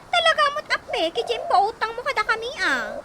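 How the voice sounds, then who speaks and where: A woman doing a high, lively defensive schoolteacher. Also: a little fast, a loud crowd. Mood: mocking. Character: defensive woman schoolteacher who owes money. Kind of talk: radio drama acting.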